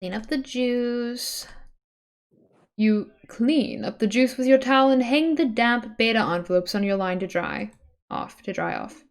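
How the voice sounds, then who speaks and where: A woman's voice: on the high side, a little slow. A woman streamer reading a webcomic aloud, mixing dramatic narration with casual, chatty asides.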